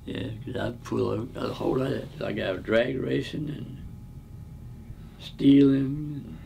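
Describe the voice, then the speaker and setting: A man's voice: medium pitch, takes it slowly, gravelly, warm tone, slight background noise. A man in his nineties reminiscing in casual conversation, mostly answering in short agreeing replies. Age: 90s. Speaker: interviewee.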